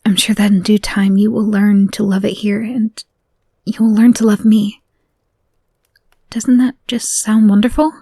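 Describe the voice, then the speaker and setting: A slow, hushed young woman obsessed with her captive, as a woman acts her out, her murderous devotion carried in soft whispers. She drifts from chilling calm to frantic apology and back. The voice sits close and dry, with no room around it. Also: medium pitch, husky, no room sound. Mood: possessive, tender. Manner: switches between menacing calm and frantic apology. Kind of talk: audio roleplay to a listener.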